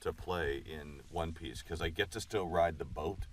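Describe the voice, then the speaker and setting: A voice actor, a man with a deep voice, chatting in a laid-back, wry way on a commentary track. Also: normal speed, background noise. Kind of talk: commentary chat.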